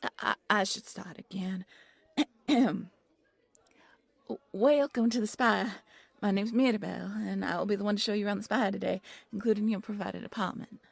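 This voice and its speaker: A timid, apologetic bunny girl, acted by a young woman, stumbles over her words in an audio roleplay. Her voice is soft and breathy, with very little creak. She sounds easily flustered, and the recording is dry and free of room sound. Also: medium pitch, moderate pace, dry recording. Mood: shy, eager. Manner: nervous, stammering, easily flustered. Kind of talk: audio roleplay character acting.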